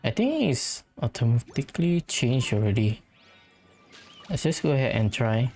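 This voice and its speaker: A woman gamer commentates casually in a very low, mellow voice. The pace is slow and relaxed, with faint game music behind. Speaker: gaming video host.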